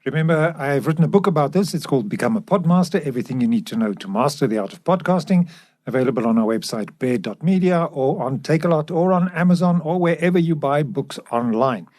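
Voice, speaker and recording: A man with a slightly high-pitched voice chats as a podcast co-host. His manner is casual and blunt, and the sound is very dry. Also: animated, fast, darkish tone, no echo.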